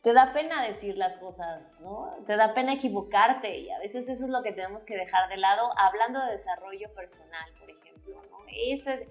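A woman with a Mexican Spanish accent hosting a casual talk show in a playful, expressive way, calling people "corazón". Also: medium pitch, normal speed, few pauses, soft background music. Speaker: host.

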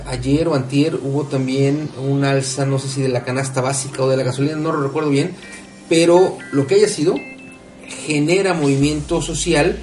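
Radio co-host, male, mid pitch, rambling questions, roomy sound a bit off mic. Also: a little mellow, far from the mic. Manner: long winding questions with many fillers. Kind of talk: radio interview. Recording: echoey room, off mic, faint noise.

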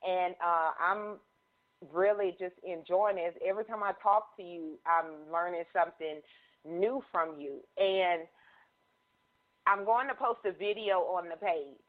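A woman's voice: fairly low pitch, unhurried. A woman hosting a conference call, chatting in an upbeat, encouraging way. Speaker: host. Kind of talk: casual conference-call chat. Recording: tinny phone line.

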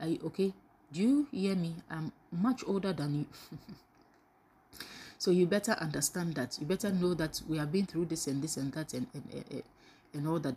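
A woman preaches a live devotional. Her voice sits somewhat low with a husky edge. She keeps asking her listeners questions and throws in praise interjections between points. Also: warm tone. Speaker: preacher leading a daily devotional.

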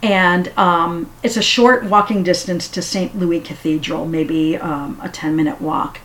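A woman giving a casual spoken history talk, unhurried and halting with frequent fillers. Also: fairly low pitch, steady tone, a bit bright, low-quality recording. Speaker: history storyteller.

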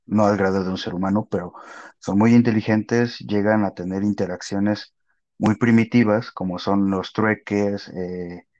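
A man's voice: lowish voice, fairly even intonation, a little slow, darkish tone. A man, a podcast co-host, explaining matter-of-factly in casual chat. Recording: dull lo-fi sound.

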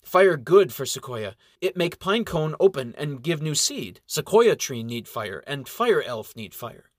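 A man reading a storybook voices a shy, submissive male elf who speaks halting broken English. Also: on the high side, sing-song, dry recording, studio quality, pitched above the performer's own voice. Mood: explaining.

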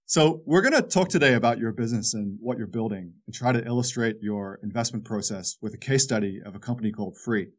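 Man, podcast host, fast talker, brisk, prepared questions. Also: on the low side. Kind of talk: podcast interview.

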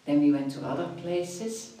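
Dutch lady 50s, low voice, chatty, restarts a lot, sounds a bit far off in an echoey room. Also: expressive, normal speed, mellow, a little hiss or hum. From Netherlands. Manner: chatty, restarts phrases, thinks aloud. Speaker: after-school activities coordinator. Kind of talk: interview answers.

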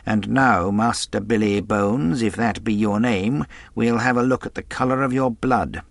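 A man's voice: lowish voice, a little slow, creaky, no room sound. Guy playing a no-nonsense country doctor from a storybook, blunt, a bit scornful, bossy, dry recording. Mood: commanding. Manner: commanding, matter-of-fact.

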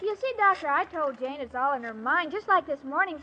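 A woman's voice: sits high, lively intonation, brisk, sharp tone. A woman playing a dim-witted, innocent young woman in a 1940s radio comedy, guileless and earnest. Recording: very noisy, dull vintage radio recording.

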